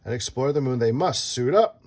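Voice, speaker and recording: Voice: medium pitch, lively intonation, brisk, darkish tone. Someone reading a children's book aloud as host, playfully asking a child questions and adding casual asides.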